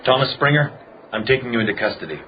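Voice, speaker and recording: A man's voice: mid-range voice, lively intonation, fast, dull audio, slight background noise, natural voice. A man playing a firm police sergeant in a radio drama, clipped and official.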